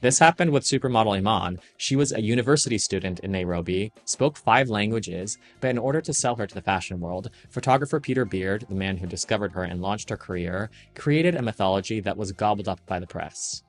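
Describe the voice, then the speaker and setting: Low-voiced man narrating a serious essay. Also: expressive, faint music behind. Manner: measured, serious, critical. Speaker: fashion industry commentator. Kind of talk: scripted video-essay narration.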